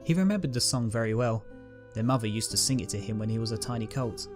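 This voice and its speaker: A man narrating a fan-written pony story in a calm, steady reading voice. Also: medium pitch, quick pace. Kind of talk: fanfic audiobook reading. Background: guitar music.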